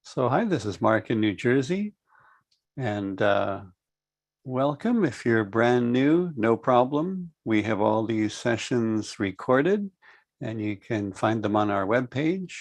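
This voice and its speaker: A middle-aged man hosting an online meditation class over a video call, speaking calmly and in a measured way. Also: mid-range voice, no room sound. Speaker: host. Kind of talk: friendly online class introduction.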